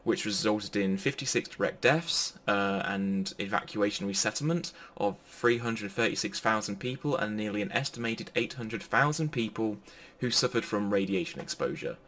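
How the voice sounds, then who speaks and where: A man's voice: on the low side, moderate pace, fairly bright tone. A man giving an informal, pre-recorded sermon in a chatty, jokey manner. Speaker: preacher.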